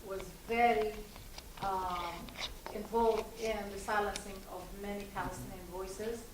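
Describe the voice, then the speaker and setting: A woman professor commenting from the audience without a microphone, projecting her voice loudly. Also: mid-range voice, fairly even intonation, a little slow. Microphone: no microphone, picked up from across the room. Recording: echoey hall, far from the mic, noisy.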